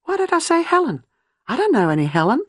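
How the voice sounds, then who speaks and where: A woman's voice: high-pitched, huge pitch swings, fast, a bit bright, dry recording, studio quality, voice pushed up, exaggerated voice. A woman voicing a panicked, frightened young woman. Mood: desperate. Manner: breathless, pleading, rising panic.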